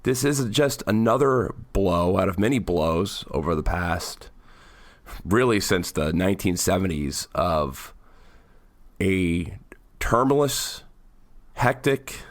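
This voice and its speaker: Low, raspy man with a flu. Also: lively intonation, slow pace, no room sound. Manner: rambling, restarts sentences often. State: hoarse from flu. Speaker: podcast co-host. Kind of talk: casual political chat.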